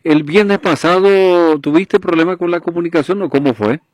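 A man's voice: sits high, moderate pace, no room sound. A man hosting a radio show who talks with a caller in a conversational way. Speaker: radio host.

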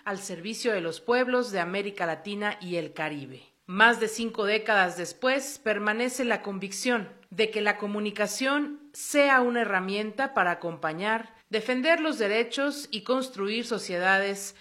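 Bright-voiced woman reading a script. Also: medium pitch, measured pace, few pauses. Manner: clear, formal, declamatory. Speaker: radio presenter. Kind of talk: scripted narration.

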